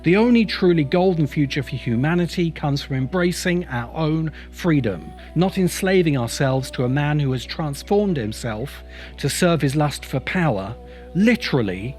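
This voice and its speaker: Higher-pitched man narrating a video essay over music. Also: animated, moderate pace, a little mellow. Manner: emphatic, opinionated, rhetorical. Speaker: host and writer of science fiction video essays. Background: background music.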